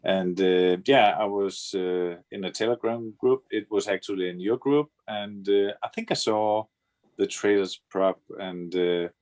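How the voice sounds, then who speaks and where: A man from Denmark speaking English with a Danish accent, his native language being Danish, telling his story haltingly on a video call as a guest. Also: fairly low pitch, darkish tone. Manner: hesitant, many fillers, chuckles now and then. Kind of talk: casual video call interview.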